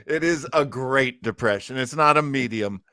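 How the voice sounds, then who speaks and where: A man's voice: mid-range voice, normal speed, bright, forceful tone. A man giving casual, jokey podcast commentary as a host, often repeating himself for effect.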